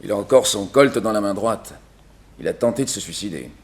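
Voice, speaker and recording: A man's voice: on the low side, animated, fairly quick, slight background noise. A man playing a composed, courteous country doctor in a 1960s French radio drama, speaking formally. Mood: grave.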